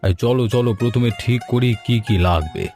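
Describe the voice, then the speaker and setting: A man's voice: mid-range voice, brisk, mellow, faint music behind, lightly acted. A man playing a considerate, organised middle-aged village father in a cartoon. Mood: glad, planning. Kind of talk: cartoon voice acting.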